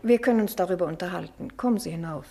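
An actress playing a wary, reserved ageing spinster with curt, measured speech in a German radio drama. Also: female voice, lowish voice, gravelly, dark tone, slight background noise.

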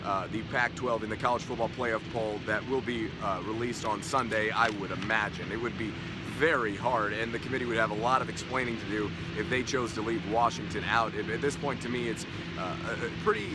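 A man's voice: lowish voice, steady tone. A young man giving a casual postgame recap to camera, outdoors on a stadium field at night, with fillers between his points. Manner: brisk, conversational analysis with frequent fillers. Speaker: sports reporter. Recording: outdoor stadium with loud vehicle noise. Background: tractor and vehicle engine noise.

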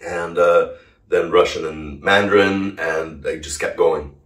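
A man's voice: sits low, fairly even intonation, a little slow, fairly bright tone. A man in his thirties from Brazil, a native Portuguese speaker, telling stories casually as an interview guest. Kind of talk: casual conversational storytelling. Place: indoor living room, on a sofa. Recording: roomy, distant microphone.

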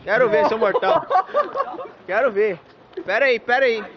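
A man's voice: extremely high pitch, moderate pace. A Brazilian man standing beside the phone, pushing the other man with mocking questions. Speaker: bystander joining the questioning. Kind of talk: joking street chat. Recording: muffled phone audio, faint noise.

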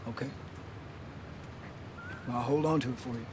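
An actor playing an earnest, plainspoken middle-aged Texan survivor leading a settlement in a video game, in a higher male voice. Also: steady tone, normal speed, noisy background, lightly acted. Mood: subdued. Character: earnest younger brother leading a survivor settlement, rugged and hopeful. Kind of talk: video game cutscene acting.

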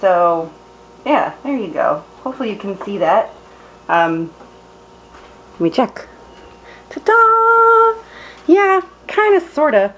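A woman's voice: fairly high pitch, big pitch swings, slow pace, pauses often, fairly bright tone, a little hiss or hum, rough recording. A young woman demonstrating a recipe in her dim home kitchen, chatty and playful, with lots of ums and cheerful exclamations. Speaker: cooking vlogger. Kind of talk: casual cooking demonstration. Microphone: camera held close, filming her hands.

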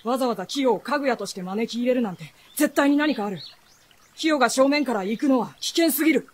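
A woman's voice: a little high, lively intonation, quick pace, slight background noise, lightly acted. An actress playing an earnest, protective, sensitive teenage boy in a radio drama. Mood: urgent protest.

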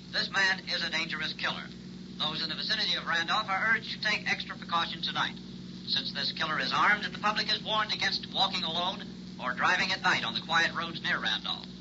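A man's voice: fairly high pitch, lively intonation, fairly quick. A man playing a grave, formal newscaster heard over a car radio in a radio drama. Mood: urgent, formal. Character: grave radio newscaster reading emergency bulletin. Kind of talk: formal 1960s radio announcing. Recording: dull, very noisy humming radio sound.

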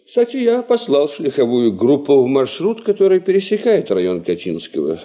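An actor playing a sceptical, formal expedition chief in a radio play, husky, dry and educated. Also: male voice, medium pitch, big pitch swings, moderate pace, warm tone. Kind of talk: 1970s Soviet radio play acting.

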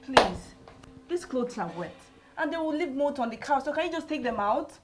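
A woman playing a prim flatmate who complains in exasperation. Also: high voice, normal speed, loud music behind the voice.

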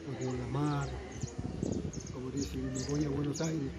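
A man in his sixties from the Calchaquí Valleys of Argentina, speaking as a folk singer being interviewed. He talks in halting, repeated phrases in a plain way. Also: mid-range voice, level tone, loud music behind the voice. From Calchaquí Valleys, northwest Argentina. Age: 60s. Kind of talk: casual interview answers. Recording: loud background noise outdoors.

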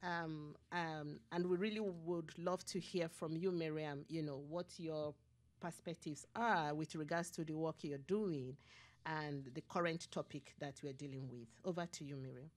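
Lady moderator at a podium, lower voice, jokey and upbeat intros. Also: measured pace. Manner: playful, lively introductions. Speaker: panel moderator. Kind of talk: moderating a panel. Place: conference room.

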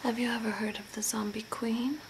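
A young woman whispering breathily and softly in a soothing ASMR role play, close to the mic as if giving personal attention. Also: on the high side, flat delivery, a little fast. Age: young adult. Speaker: ASMR role-play performer, makeup artist. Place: small room at home, by a window. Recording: noisy home recording with ambient hiss.

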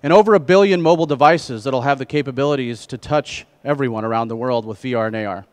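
A middle-aged man presenting a conference keynote on stage through a headset mic, speaking in measured phrases. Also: medium pitch, clean tone. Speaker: keynote speaker, trade association president.

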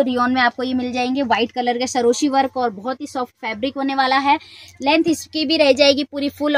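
A woman with a high, light voice hosts a shop video and walks through the goods in an easy, repetitive sales patter. She keeps the talk friendly and steady, like a seller at a market stall. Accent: Hindi speaker from North India. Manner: chatty repetitive selling patter. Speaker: shop video host showing wholesale suits. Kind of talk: product showcase sales pitch.

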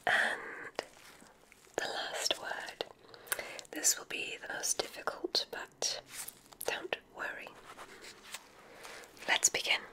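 Woman as a softly whispering gentle witch examiner. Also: close to an everyday voice, poor audio. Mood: soothing. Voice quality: breathy whisper. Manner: slow, careful, soothing instructions. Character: gentle, reassuring female witch examiner at magic school. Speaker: ASMR roleplay performer. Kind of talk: whispered ASMR medical roleplay.

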